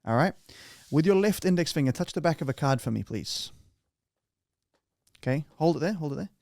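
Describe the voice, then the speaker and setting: A man's voice: fairly high pitch, expressive, fairly quick, creaky, darkish tone, dry recording, studio quality. A man hosting a podcast, chatting casually and playfully with his guest, often restarting his sentences. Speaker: podcast host.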